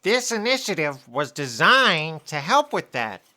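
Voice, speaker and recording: A dry, loyal female assistant in a comic audio drama. She speaks slowly in a lowish but bright voice, deadpan and knowing. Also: full character voice. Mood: earnest. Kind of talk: comedic audio drama acting.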